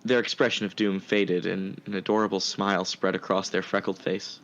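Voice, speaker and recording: A man's voice: low voice, little pitch movement, fairly quick, a bit bright, dull audio. A man playing a sympathetic, smitten art student who tells his story in an earnest, tender manner. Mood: tender storytelling. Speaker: narrator and actor in audio fiction. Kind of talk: first-person story narration and dialogue.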